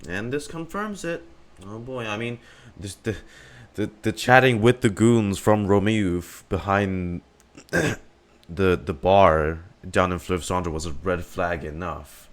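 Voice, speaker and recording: Low-voiced man reacting to a game. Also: slow pace. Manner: exasperated, sweary, muttering asides. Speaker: game streamer reacting to story. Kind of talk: casual live gameplay reaction commentary.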